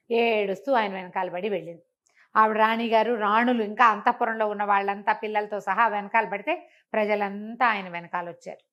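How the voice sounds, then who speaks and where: A woman telling a moral folk tale in a conversational, animated way, acting out dialogue and asking rhetorical questions. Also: medium pitch. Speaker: storyteller.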